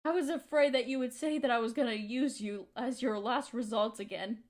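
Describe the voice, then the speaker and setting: Actress for bitter, hurt young woman, high-pitched, emotional audio drama monologue, confrontational and accusing. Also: moderate pace, close to an everyday voice. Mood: hurt, resentful. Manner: emotional, accusatory, pointed rhetorical questions. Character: hurt, resentful young woman confronting her longtime roommate.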